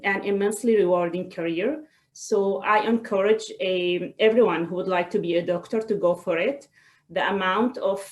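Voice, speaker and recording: A woman, giving a personal talk in a webinar in a halting, hesitant way with frequent pauses. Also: medium pitch. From Israel. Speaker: guest speaker, doctor. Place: online video call.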